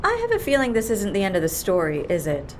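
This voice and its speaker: An actress playing a wry, probing interviewer in an audio drama, with a dry, deadpan, questioning manner. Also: female voice, medium pitch, fast talker, a little hiss or hum. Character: wry, probing woman interviewer, coolly amused listener.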